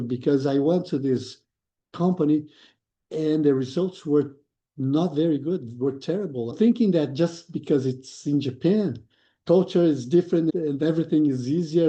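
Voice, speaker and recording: Male guest, thoughtful and explanatory, very dark tone, lo-fi audio. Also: fairly high pitch. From Brazil. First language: Portuguese. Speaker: guest, lean consultant. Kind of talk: podcast interview.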